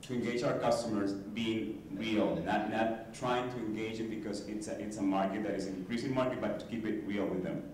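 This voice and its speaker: A man's voice: on the low side, fairly even intonation, fairly quick, few pauses, a little mellow. Distant man asking from the floor. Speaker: audience member asking a question. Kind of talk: spoken question from the floor. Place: large echoey conference room. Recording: echoey hall, far off, faint noise.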